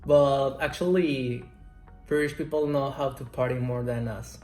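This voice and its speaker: A man in his twenties, a Spanish speaker, answering interview questions in slow, careful English. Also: mid-range voice, fairly even intonation, darkish tone. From Mexico. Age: 20s. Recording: roomy echo, faint music.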